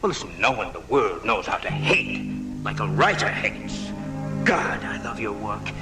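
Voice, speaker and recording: An actor playing a bitter, envious failed writer with murderous intent in a live radio drama, intense and menacing. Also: male voice, lowish voice, lively intonation, normal speed, music in the background, close to an everyday voice. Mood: bitter, obsessed. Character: envious, hateful failed male writer bent on killing. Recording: off-air 1980s radio recording.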